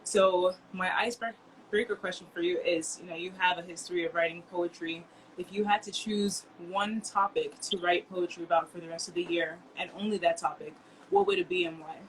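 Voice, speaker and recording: A woman's voice: on the low side, fairly even intonation, normal speed, a little hiss or hum, poor audio. A woman hosting an interview show, affirming her guest and asking prepared questions. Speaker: podcast host. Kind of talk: interview host.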